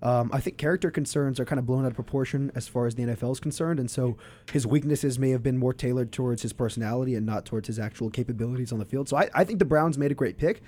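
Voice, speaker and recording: A man with a hoarse voice giving quick, assertive analysis in a casual sports debate. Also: mid-range voice, darkish tone. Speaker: sports podcast co-host.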